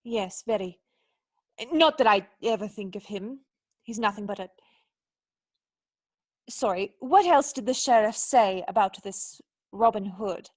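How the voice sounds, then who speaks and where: A woman's voice: medium pitch, a little slow, pauses often, natural voice. A woman playing a proud, defensive young noblewoman with formal, earnest speech in a radio play. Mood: flustered denial.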